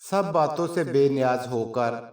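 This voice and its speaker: A man narrating a scripted story in a measured, formal storytelling manner. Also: mid-range voice, a little mellow. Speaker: channel narrator. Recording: roomy echo, distant from mic.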